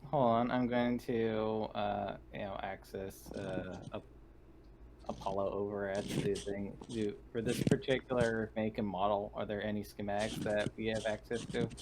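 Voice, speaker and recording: A man's voice: lowish voice, flat delivery, moderate pace, noisy background. A man playing a tabletop roleplaying game, speaking in formal, precise wording as he plays his part. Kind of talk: casual roleplaying, partly in character.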